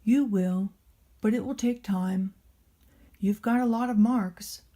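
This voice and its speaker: A woman voicing a large, gentle bearded craftsman in a storybook, slow, thoughtful and reassuring. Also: mid-range voice. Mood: encouraging.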